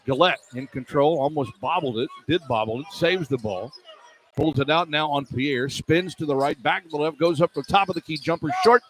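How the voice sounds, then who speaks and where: A man's voice: fairly high pitch, normal speed, a bit bright. A man calling live basketball play-by-play for radio in clipped, running phrases from an indoor arena. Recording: live arena broadcast with background noise. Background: faint arena noise.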